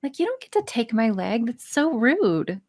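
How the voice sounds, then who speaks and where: A woman's voice: on the high side, moderate pace, no room sound. A woman chatting casually as an interview guest, blunt, sweary and self-deprecating in the way she talks. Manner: sweary, blunt, self-deprecating, animated storytelling with acted-out asides. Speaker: podcast guest, audio voice performer.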